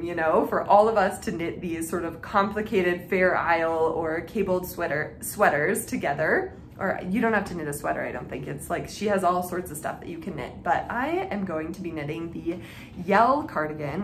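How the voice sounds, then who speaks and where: A woman's voice: fairly low pitch, lively intonation, moderate pace. Chatty woman podcaster in an echoey room. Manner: chatty and informal, frequent "like" and self-interruptions. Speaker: knitting and reading podcast host. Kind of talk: casual chat to viewers. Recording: echoey room with faint background noise.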